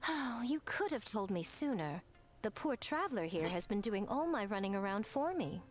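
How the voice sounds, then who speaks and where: An actress playing a sly, teasing, haughty shrine priestess in a video game, drawling with playful condescension. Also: female voice, mid-range voice, sing-song, normal speed, natural voice. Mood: arch. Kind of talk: scripted video game dialogue. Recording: game music behind the voice.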